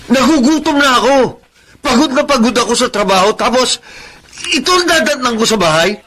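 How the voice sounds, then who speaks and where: An actor with a Filipino accent playing a weary, regretful schoolteacher husband in a melodramatic radio drama. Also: male voice, extremely high pitch, animated, fairly quick, sharp tone, heavily acted. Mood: angry, exasperated. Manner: melodramatic, earnest. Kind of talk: Filipino radio drama acting, letter-style narration and dialogue.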